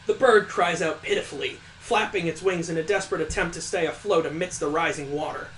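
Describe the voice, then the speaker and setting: A high-pitched male let's player reads a visual novel aloud, dropping in sarcastic commentary as he goes. There is faint noise behind his voice. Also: a little fast, a bit bright, low-quality recording. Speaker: video game let's player. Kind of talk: reading a visual novel aloud with joking asides.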